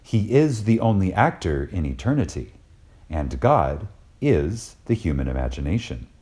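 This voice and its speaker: A man reading a scripted lecture dramatically and reverently, with deliberate pauses and emphasis. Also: low-pitched, very animated, warm tone. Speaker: reader of a recorded lecture.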